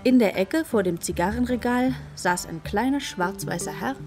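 A woman's voice: medium pitch, expressive, moderate pace, music in the background. A woman narrator reading scripted storytelling passages for a children's radio play.

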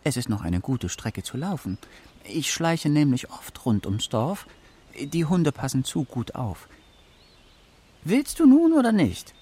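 A man playing a proud, wary talking forest fox in a children's radio play, husky and musing. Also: fairly high pitch, sing-song, unhurried, dark tone, exaggerated voice. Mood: bargaining.

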